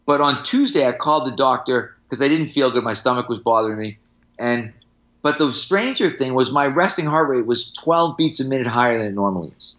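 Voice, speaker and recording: A man's voice: medium pitch, expressive, a little fast, a bit bright, muffled recording. A middle-aged man, a strength coach, talking casually on a podcast in anecdotes and examples, with firm opinions.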